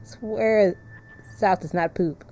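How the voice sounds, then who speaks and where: A woman with a soft-edged, mellow voice streams a narrative game. She moves between casual commentary and reading the text aloud, at a middling pitch for a woman. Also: slow, soft background music. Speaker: streamer playing a visual novel.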